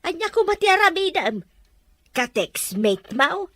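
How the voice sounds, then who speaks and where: A woman playing a bright, nagging mother. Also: extremely high pitch, very animated, a little slow, no echo, exaggerated voice. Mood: teasing. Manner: scolding, nagging. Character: scolding middle-aged mother, blunt and pushy. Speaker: mother of the young wife. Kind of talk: Ilocano audio drama acting. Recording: dry close mic under music.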